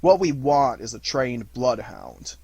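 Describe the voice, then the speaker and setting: A man voicing a melancholy, self-pitying donkey in a storybook, slow, deadpan and resigned. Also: mid-range voice, creaky, husky, no room sound, exaggerated voice. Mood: gloomy, resigned.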